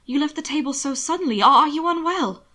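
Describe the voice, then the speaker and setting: Woman playing a high, tearful, frantic sister. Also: animated, fairly quick, sharp tone. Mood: tearful, frightened. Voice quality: voice thick with tears, breathy. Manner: agitated, insistent, emphatic. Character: frightened, tearful, suspicious sister of a gentleman. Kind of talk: audiobook character dialogue.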